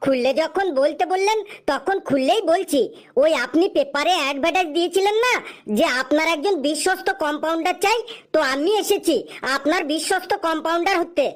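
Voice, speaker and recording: A woman's voice: extremely high pitch, brisk. A woman voicing a cheeky, smart-mouthed young jobless man in a comic cartoon, with glib, teasing back-talk. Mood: playfully earnest.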